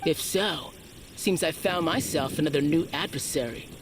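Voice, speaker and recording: An actor voicing a self-satisfied, confident perfectionist tournament contender in a video game. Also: male voice, fairly high pitch, moderate pace, background noise, close to an everyday voice. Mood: smugly confident. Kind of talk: video game character dialogue.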